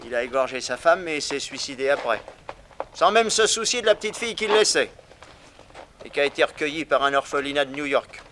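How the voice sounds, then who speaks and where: Casting: male actor for excitable, bitter pardoned convict, higher register, theatrical 1960s French radio style, faintly noisy recording. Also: a little fast, close to an everyday voice. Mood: bitter, wry. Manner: theatrical, expressive storytelling.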